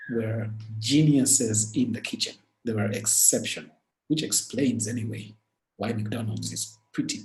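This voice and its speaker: A man with a Ugandan accent, from Uganda, giving a webinar talk as the speaker and walking through business stories in an earnest, lively way. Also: medium pitch. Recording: echoey room.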